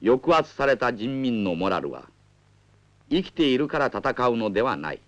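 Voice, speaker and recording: A man with a mid-pitched, highly varied voice narrates a political film. His scripted delivery is formal and rhetorical, rising and falling widely. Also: very animated, normal speed, fairly bright tone. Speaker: documentary narrator.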